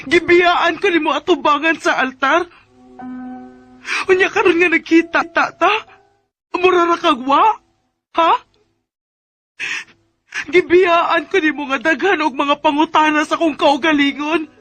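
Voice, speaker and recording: An actress playing an emotional, reproachful young jilted bride with a Filipino accent in a radio drama, with music underneath. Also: female voice, very high-pitched, steady tone, unhurried, pauses often, fairly bright tone. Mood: hurt, reproachful.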